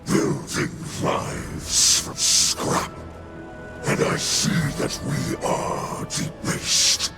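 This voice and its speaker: An actor playing a weary, sick alien elder who speaks solemnly and formally with a breathy voice. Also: male voice, drawn-out words, over music. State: weak from illness. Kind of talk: game cutscene acting.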